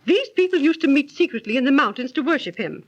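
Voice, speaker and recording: An actress playing a proper, precise, genteel aunt who scolds gently and tells tales, 1930s radio drama style. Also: female voice, high-pitched, expressive, fast. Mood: storytelling. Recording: dull, lo-fi 1930s radio recording.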